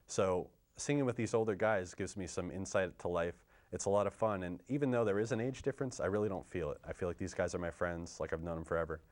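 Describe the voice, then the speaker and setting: A young man from Pennsylvania who sings bass in a barbershop chorus, answering interview questions earnestly. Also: fast, hardly any pauses, darkish tone. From Pennsylvania, USA. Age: young adult.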